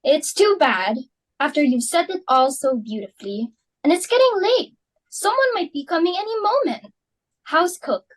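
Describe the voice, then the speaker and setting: A young woman reading the part of an excitable, fashionable society matron hosting a dinner party, fussy and emphatic. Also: very high voice, rough recording. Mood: fussing. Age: young adult. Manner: fussy, emphatic, quick to exclaim. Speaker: reader for Mrs. Pringle. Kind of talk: student dramatic reading.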